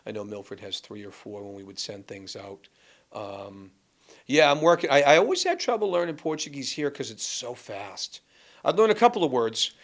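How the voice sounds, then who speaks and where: A man from Massachusetts, a guest and former school athletics director, telling animated stories in a casual chat with many restarts. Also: medium pitch.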